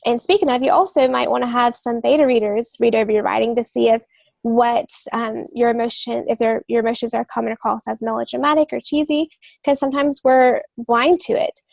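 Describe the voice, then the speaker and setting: Creaky-voiced woman, close and dry. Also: on the high side, steady tone, fairly quick, a little mellow, no room sound. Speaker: podcast co-host and writer. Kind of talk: casual advisory discussion.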